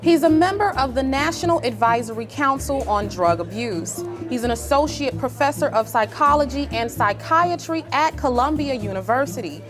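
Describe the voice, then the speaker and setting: A woman's voice: high voice, lively intonation, moderate pace, hardly any pauses, music in the background. A woman hosting a TV interview, encouraging, often repeating and rephrasing her questions. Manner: encouraging, repeats questions, frequent "really?" and "wow". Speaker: TV interview host. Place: book fair.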